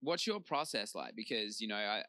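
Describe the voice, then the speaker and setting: Australian man, interviewer, bright forceful tone, enthusiastic, dry close sound. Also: fairly low pitch, lively intonation, normal speed, no echo. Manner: enthusiastic, hypey, lots of fillers. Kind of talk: upbeat casual interview.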